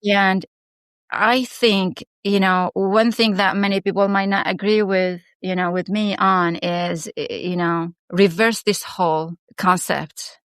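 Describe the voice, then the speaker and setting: A woman's voice: mid-range voice, fairly even intonation, takes it slowly, a bit bright, smooth, no room sound. A middle-aged woman from Syria, a guest in an interview, speaking in a reflective, searching way with many restarts and fillers. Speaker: guest, education founder and former principal. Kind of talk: casual interview conversation.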